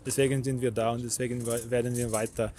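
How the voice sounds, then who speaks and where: A man with a Ukrainian accent and halting non-native grammar, speaking in a street interview as a protester. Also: mid-range voice, flat delivery, dark tone. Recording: crowd chatter around him. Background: crowd at a street protest.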